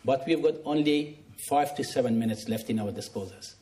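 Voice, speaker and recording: A man's voice: mid-range voice, fairly quick, mellow, a little hiss or hum. A man speaking on stage at a forum, giving formal remarks and answers as a music school director. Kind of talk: public thanks and question answering at a forum. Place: on stage at a live forum event.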